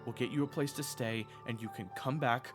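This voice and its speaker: A man's voice: mid-range voice, steady tone, a little fast, lightly acted. A man acting an earnest teenage knight over music. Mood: urgent, desperate. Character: earnest, urgent teenage knight, male, protective and stubborn. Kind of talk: audio roleplay drama with first-person narration.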